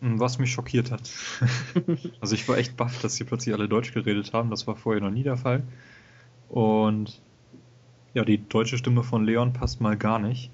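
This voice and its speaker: A man co-hosting a casual gaming podcast, talking in a relaxed, hesitant way with many fillers. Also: mid-range voice, normal speed, husky. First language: German. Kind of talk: casual game review chat. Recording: dull lo-fi home recording.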